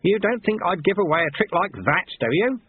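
A man's voice: fairly high pitch, big pitch swings, normal speed, narrow, tinny audio, slight background noise, pitched above the performer's own voice. A man voicing a sly, cheerful old monk and martial-arts master who talks in a cheeky, colloquial, teasing way, in an audiobook. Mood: taunting.

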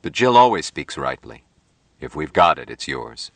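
A man voicing a serene, innocent young man from Mars in an audiobook story, speaking softly, slowly and literally with solemn certainty. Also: a bass voice, sing-song, no room sound, full character voice. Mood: solemn, gentle. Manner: soft, slow, literal, solemn.

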